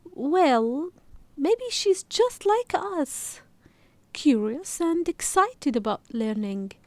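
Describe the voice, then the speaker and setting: A woman's voice: high-pitched, sing-song, drawn-out words, no room sound, pitched above the performer's own voice, heavily acted. A woman voicing a dreamy young girl in a storybook, curious, earnest and a little unsure. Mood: reassuring.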